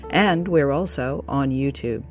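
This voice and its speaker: A woman's voice: very deep voice, animated, unhurried, a little mellow, faint music behind. A woman hosting a radio show and podcast in a conversational style.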